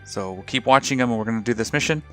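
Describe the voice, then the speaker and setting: A man hosting a casual game video, talking through his ship setup with a slightly rough, hoarse voice. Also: medium pitch, very fast, soft background music. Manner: relaxed, rambling, explains ship fittings. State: slightly rough voice after a night of singing. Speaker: gaming channel host. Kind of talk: casual gameplay commentary.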